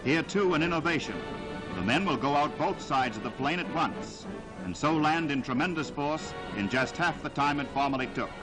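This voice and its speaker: Old 1940s newsreel guy, emphatic n dramatic, voice on the high side, loud music behind, vintage soundtrack. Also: animated, low-quality recording. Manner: declamatory, emphatic, measured phrasing. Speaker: newsreel narrator.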